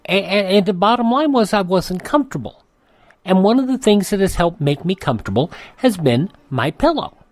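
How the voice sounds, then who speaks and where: A man's voice: high-pitched, big pitch swings, normal speed, no echo. Chatty older male radio host. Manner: chatty, rambling, conversational. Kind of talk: casual ad read.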